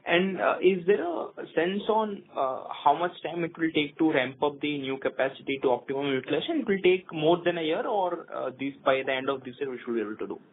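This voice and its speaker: A man's voice: on the high side, a little fast, few pauses. A male analyst phoning into an earnings call, putting questions politely and a little hesitantly. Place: phone call into conference. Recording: narrowband phone line, faint noise.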